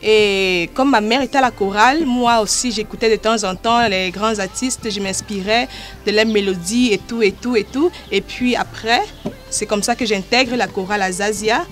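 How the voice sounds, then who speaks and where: A woman's voice: medium pitch, moderate pace, faint music behind. A woman with a Cameroonian accent speaking as an interviewed gospel artist, in an earnest, devout manner. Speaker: gospel artist and choir director being interviewed. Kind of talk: interview talk about life and music.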